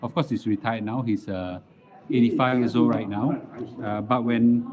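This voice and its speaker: A middle-aged man with a Singaporean accent chatting casually as a livestream host, halting and repeating words as he goes. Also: mid-range voice, steady tone, a crowd in the background. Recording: dull lo-fi live stream audio.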